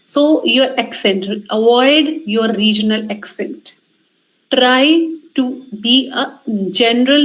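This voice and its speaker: A woman with an Indian accent speaks in a fairly high voice as a communication trainer. She instructs emphatically, laying out advice in short directive phrases and repeating key points. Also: fairly bright tone, poor audio. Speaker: communication trainer giving a lecture. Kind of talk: instructional talk on interview skills.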